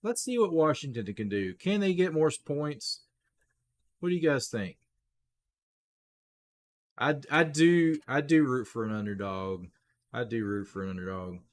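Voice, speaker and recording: A man hosting a casual live play-by-play stream, his voice rough, clearing his throat, talking straight to viewers and rising on big plays. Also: mid-range voice, measured pace, long pauses. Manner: chatty, talks straight to viewers, rises excitedly on big plays. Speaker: livestream play-by-play host.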